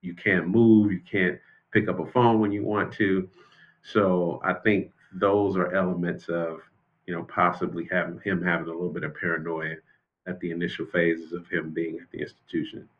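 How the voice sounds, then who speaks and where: An American man speaking as an expert interview guest and former prison official, explaining things in a measured, conversational way. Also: low-pitched, little pitch movement, phone-quality sound. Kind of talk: unscripted interview commentary.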